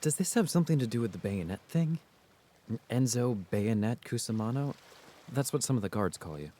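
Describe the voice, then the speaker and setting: An actor playing an earnest, loyal young grandson on the run in a radio drama. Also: male voice, mid-range voice, lively intonation, a little fast, warm tone, close to an everyday voice. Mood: puzzled, loyal.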